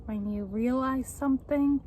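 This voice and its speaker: A young woman reading a children's book aloud in a deliberate, expressive way, explaining and asking the listeners questions. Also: sits high. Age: young adult. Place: outdoors on a front step by a house door. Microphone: camera at a short distance. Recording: faint outdoor street noise.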